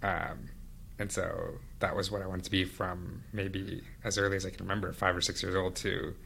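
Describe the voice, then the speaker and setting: A man who grew up in India, Venezuela and Canada, reflecting on his life as an interview guest in a measured, explanatory way. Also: deep voice, level tone, vocal fry, bright, forceful tone, a little hiss or hum. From India and Venezuela, then Canada from age thirteen. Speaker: podcast guest, business executive. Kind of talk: conversational interview, reflective personal storytelling.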